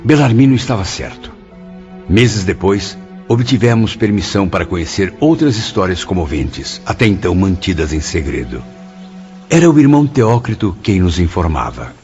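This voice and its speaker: A man's voice: low voice, big pitch swings, normal speed, a little mellow. An actor playing a solemn, humble, repentant male spirit in a radio drama, with a Brazilian accent. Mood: reflective narrating. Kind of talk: Portuguese-language radio drama acting and narration. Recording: muffled audio under prominent music.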